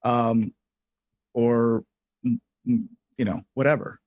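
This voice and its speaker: Male livestream co-host, mid-pitched, dry close mic; informal, self-deprecating, chatty. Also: steady tone, slow, no echo, highs cut off. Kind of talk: casual tutorial chat.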